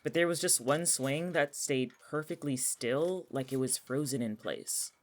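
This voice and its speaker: A man's voice: mid-range voice, measured pace, natural voice. A young man acting in an audio drama as a cynical, anxious, jobless atheist, with a sardonic, argumentative way of talking. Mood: wary, earnest. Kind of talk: naturalistic audio fiction dialogue.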